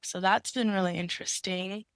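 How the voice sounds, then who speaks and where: A woman in her twenties, raspy from a cold, thinking aloud in a casual conversation. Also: mid-range voice, steady tone, normal speed, no echo. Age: 20s.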